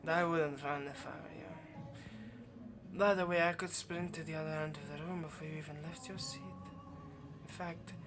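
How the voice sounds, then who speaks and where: A performer playing a smug, possessive vampire in an ASMR roleplay, teasing and condescending with quiet menace. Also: mid-range voice, a little slow, music in the background, natural voice. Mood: menacing, possessive. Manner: teasing, condescending, drops to whispers.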